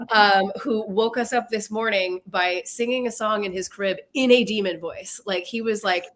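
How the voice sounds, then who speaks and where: A woman in her thirties talking casually as a podcast guest, telling stories in an animated way. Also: unhurried. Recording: low rumbly background noise.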